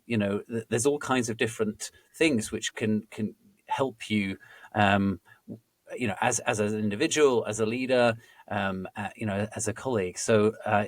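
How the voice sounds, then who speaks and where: A man hosting a podcast interview, speaking haltingly with many restarts. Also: fairly low pitch, no room sound. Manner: hesitant, many restarts and fillers. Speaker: podcast host, recruiter.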